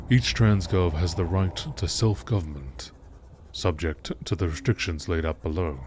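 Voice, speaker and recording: Low-voiced man narrating over background noise. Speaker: channel narrator. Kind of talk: scripted narration, nature documentary style.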